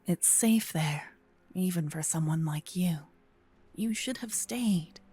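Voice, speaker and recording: A woman playing a gentle but possessive vampire kidnapper in an ASMR roleplay, speaking softly and intimately with frequent whispering, close to a binaural mic. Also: fairly low pitch. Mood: chiding, intense. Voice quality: soft, breathy, often whispering. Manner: slow, intimate, earnest, dramatic pauses. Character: gentle possessive female vampire kidnapper, self-proclaimed protector. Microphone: close binaural microphone, ear to ear.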